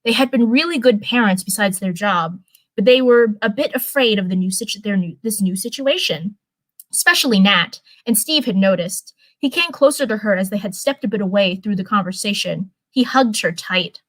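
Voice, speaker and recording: A woman's voice: medium pitch, lively intonation, normal speed, dry recording, rough recording. A woman reading fan fiction aloud in a casual livestream style, with chatty, rambling asides. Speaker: live streamer reading fanfiction.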